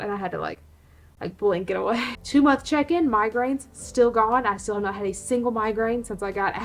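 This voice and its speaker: A woman hosting her own channel, giving an unscripted casual update to viewers, a little hoarse and pausing for breaths. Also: mid-range voice. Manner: unscripted, breathy pauses, laughs often. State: slightly hoarse, short of breath. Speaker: reptile channel host.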